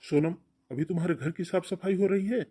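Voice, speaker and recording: A man's voice: high voice, fairly quick, warm tone, breathy edge. A man playing a stern, domineering older brother in a cartoon family melodrama, scolding and giving orders. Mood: angry, commanding. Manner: scolding, commanding.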